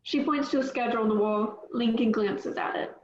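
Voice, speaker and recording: A woman reads the stage directions in a plain, matter-of-fact way. Her voice sits fairly high. The audio sounds roomy and muffled. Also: fairly even intonation, a little fast, a little hiss or hum. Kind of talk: reading stage directions at a table read.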